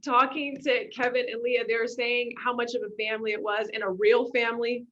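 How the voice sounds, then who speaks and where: Dull, lo-fi call audio carries a woman's slightly high voice. She moderates casually, putting questions to a group. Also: flat delivery, brisk, a bit bright. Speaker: interviewer, moderator. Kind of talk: casual question-and-answer hosting. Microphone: video call.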